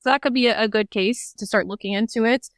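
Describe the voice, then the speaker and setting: American woman on a podcast, smooth clear voice, dry close sound, talks it through with lots of "like". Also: fairly high pitch, fast, dry recording. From United States. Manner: thoughtful, explanatory, uses "like" and "if you will". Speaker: guest, head of product marketing. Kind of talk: podcast interview answers.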